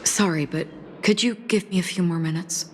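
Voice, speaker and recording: A woman voicing a stoic, guilt-burdened swordswoman in a video game, speaking gravely and in a measured, hesitant way. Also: fairly low pitch, slight background noise, natural voice. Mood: polite. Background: background music.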